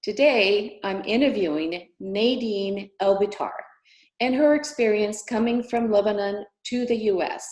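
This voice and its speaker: A woman's voice: medium pitch, a little slow, a little mellow, reverberant. A woman hosting an interview show, giving prepared introductions and questions.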